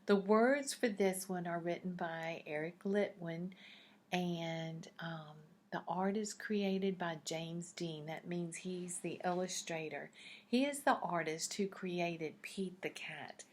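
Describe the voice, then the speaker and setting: Female storybook narrator for little kids: slow delivery, slightly low voice, teacherly, invites answers with questions. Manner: asks listeners questions, teacherly. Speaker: picture book read-aloud narrator. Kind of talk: storybook read-aloud for young children.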